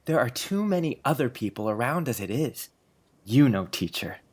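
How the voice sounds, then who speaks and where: A man's voice: medium pitch, big pitch swings, normal speed. Earnest schoolboy, voiced by a grown man, mid-range for a man, sincere and chatty, storybook drama. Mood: earnest, pleased. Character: earnest, affectionate young schoolboy confiding in his teacher. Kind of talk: dramatised audiobook acting.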